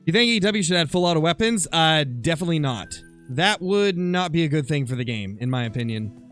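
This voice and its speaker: Male game streamer, slightly high voice, casual chat, thinking aloud, close mic, faint music behind. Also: lively intonation, brisk, fairly bright tone. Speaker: live streamer, gamer. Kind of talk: casual livestream chat over gameplay, answering viewer comments.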